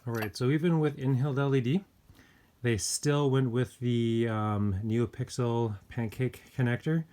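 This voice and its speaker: A man giving a casual hands-on gadget review, speaking informally and hesitantly with pauses mid-thought. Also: medium pitch, fairly even intonation, warm tone. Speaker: product reviewer. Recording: clear background noise with clicks.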